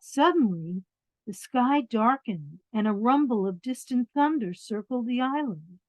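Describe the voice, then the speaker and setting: A woman reading picture books aloud to young children, expressive, pausing to explain things in simple words. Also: on the high side. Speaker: children's storyteller. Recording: dull, roomy lo-fi sound.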